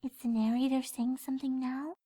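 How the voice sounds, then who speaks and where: A woman voicing a gentle, encouraging young female pony in a fan fiction audio drama. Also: high voice, flat delivery, brisk, husky, dry recording. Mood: concerned. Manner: gentle, reassuring.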